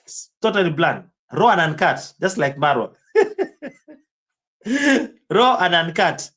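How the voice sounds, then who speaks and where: A man's voice: high voice, big pitch swings, takes it slowly, fairly bright tone. A man with a Kenyan accent streaming live, rambling in casual commentary and answering viewers' chat comments by name.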